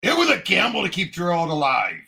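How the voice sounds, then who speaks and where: High-pitched, animated man chatting about movies. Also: fairly quick, gravelly, husky. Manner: excitable, animated retelling. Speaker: movie podcast co-host. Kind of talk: casual movie discussion, acting out quotes.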